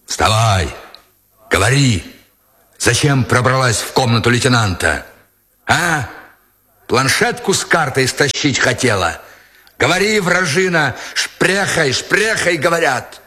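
Guy voicing a gruff wheezy sergeant, deep and rough, barks a lot. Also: expressive, takes it slowly, full character voice. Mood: shouting. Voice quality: wheezing, rasping. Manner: rough, shouting, coarse. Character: gruff hoarse male army sergeant, brutal.

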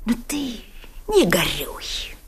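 A woman's voice: lowish voice, huge pitch swings, gravelly, slightly rough, full character voice. Syrupy, coaxing woman interrogator, swooping intonation. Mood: coaxing, falsely tender. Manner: syrupy, drawn-out, theatrical phrasing with repeated soft words. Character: German woman interrogator, falsely sweet and coaxing, wheedling. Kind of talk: dramatic acting.

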